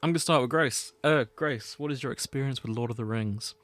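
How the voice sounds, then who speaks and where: A man's voice: medium pitch, a little fast, fairly bright tone, no echo. A man hosting a casual podcast, joking and teasing with his friends. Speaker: podcast host.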